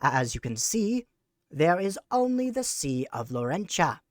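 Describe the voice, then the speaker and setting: A speaker putting on a pompous, theatrical voice as a mock-serious conspiracy lecturer, with a drawn-out delivery that drifts toward a British accent. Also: medium pitch, very animated, no room sound, studio quality. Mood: lecturing. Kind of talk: mock conspiracy presentation over slides.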